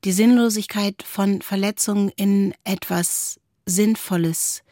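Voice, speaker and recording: A woman's voice: medium pitch, steady tone, a little slow, dark tone, dry recording, clean studio sound. A woman hosting a podcast in a reflective, conversational way. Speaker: podcast host.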